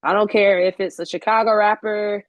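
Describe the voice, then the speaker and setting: A woman's voice: mid-range voice, fairly even intonation, normal speed, dry recording. A woman in her late twenties, a podcast guest chatting casually, candid and blunt with frequent swearing and laughter slipping into her sentences. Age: 20s. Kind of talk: casual interview chat.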